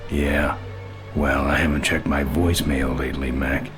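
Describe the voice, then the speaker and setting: An actor playing a gruff, laconic tough biker in a video game, terse and deadpan. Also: male voice, extremely low pitch, normal speed. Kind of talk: video game character acting. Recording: game music behind.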